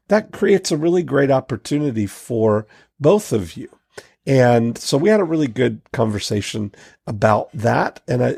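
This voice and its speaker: A man's voice: medium pitch, gravelly, darkish tone, husky, no echo, studio quality. A man, a podcast host, giving a reflective, loosely phrased solo introduction to an episode.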